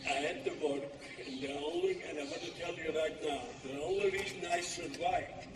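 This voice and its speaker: An older man from Poland giving a slow, halting, plainspoken personal testimony as a keynote speaker at a live event. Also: a little high. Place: large hall, live event. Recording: echoey hall from far off with noise.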